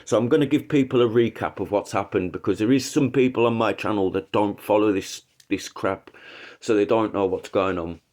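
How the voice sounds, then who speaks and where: A man's voice: on the low side, fairly quick, darkish tone. Bunged-up man ranting on a livestream. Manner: rambling, restarts often, opinionated. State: congested with a cold. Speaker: livestream host. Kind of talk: casual livestream commentary.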